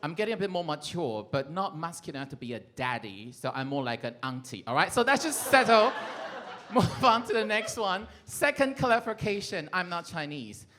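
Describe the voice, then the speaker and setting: A middle-aged man from Taiwan with a Taiwanese accent, performing a playful stand-up comedy set, breaking into shouts and laughter. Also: high voice, sing-song, moderate pace.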